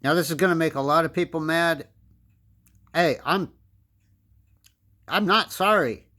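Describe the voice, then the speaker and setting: A man in his fifties with an American accent delivers a pointed political monologue. His voice sits a little higher than most men's. He lectures with emphasis and hammers his points home by repeating them. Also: measured pace, bright, forceful tone. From United States. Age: 50s. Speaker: political commentator. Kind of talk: opinion monologue.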